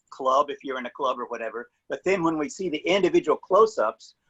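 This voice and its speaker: A man explaining his work informally on a video call, halting and often repeating words as he searches for them. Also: medium pitch, fairly bright tone, slightly rough. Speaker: panelist, filmmaker and editor of online play. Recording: dull, muffled video-call audio.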